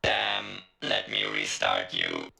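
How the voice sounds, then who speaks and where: Extremely deep, gravelly cyborg villain. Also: male voice, wildly swooping pitch, slow, pushed and bright, roomy sound, soft background music, full character voice. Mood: annoyed. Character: taunting cyborg game villain, male, condescending.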